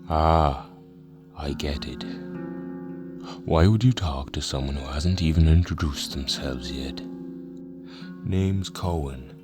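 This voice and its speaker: Guy playing a super deep slow preacher, fatherly n soothing but kinda creepy, audio drama, music behind. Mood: measured, reassuring. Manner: slow, deliberate, paternal, calls the listener brother. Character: unhurried village preacher, soothing yet quietly ominous.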